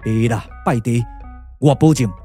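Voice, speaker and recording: A man with a Taiwanese accent voicing a solemn, calculating sage strategist in a puppet radio drama, speaking slowly, deliberately and persuasively. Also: medium pitch, dark tone, soft background music, natural voice. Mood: earnest persuasion. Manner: slow, deliberate, weighty delivery.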